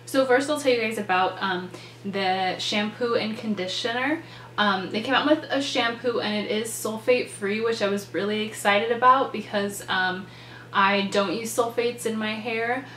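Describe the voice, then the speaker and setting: A woman's voice: fairly high pitch, moderate pace, a bit bright. A young woman in her twenties chats eagerly to camera about products, her voice a little nasal and stuffed up from a cold, with plenty of ums and likes. She sits in her bedroom and the room gives her voice a noticeable echo, with a faint hum running underneath. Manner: chatty and enthusiastic, many ums and likes. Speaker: beauty vlogger. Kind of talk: casual product review to camera. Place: bedroom, indoors. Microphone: camera in front, seated.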